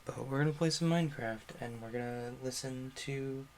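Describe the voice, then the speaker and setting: A man's voice: medium pitch, moderate pace, a little hiss or hum. A man streaming a game, giving offhand running commentary in casual chat. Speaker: streamer hosting a Minecraft stream.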